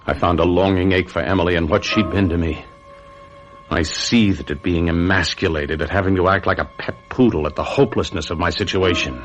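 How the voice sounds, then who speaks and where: A very deep-voiced American actor as a bitter, trapped husband in a radio mystery. He sounds brooding and melodramatic, a weak schemer, with prominent music behind his voice. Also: fairly even intonation, brisk, dull audio, natural voice. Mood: seething. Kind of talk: old-time radio mystery narration and acting.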